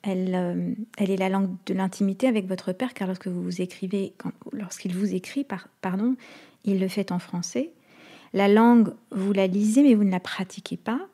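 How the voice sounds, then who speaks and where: Mellow-voiced woman interviewer, measured. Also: medium pitch, steady tone. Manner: measured, attentive, lays out questions at length. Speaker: interviewer, host. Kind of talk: long-form interview questions.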